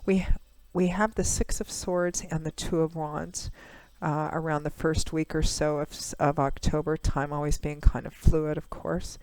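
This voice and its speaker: Hoarse woman giving a tarot reading. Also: sits low, little pitch movement, a little mellow, no echo, poor audio. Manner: measured, conversational, many pauses and fillers. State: hoarse, recovering from a cold. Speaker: tarot reader, channel host. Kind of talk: monthly astrology tarot reading to camera.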